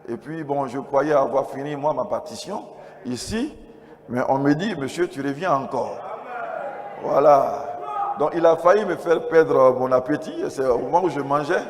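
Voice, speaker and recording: A man with an Ivorian French accent giving a testimony as a pastor at a church convention, his throat strained by a cold, moving between quiet asides and loud exclamations. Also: mid-range voice, moderate pace. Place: large church convention hall. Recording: reverberant hall PA, noisy background.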